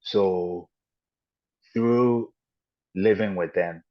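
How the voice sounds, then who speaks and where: Podcast guest, man, low voice, halting reflective storyteller, muffled lo-fi sound. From Ghana and the United States. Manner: halting and reflective, with frequent restarts and "you know". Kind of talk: personal storytelling in an interview. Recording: dull lo-fi audio.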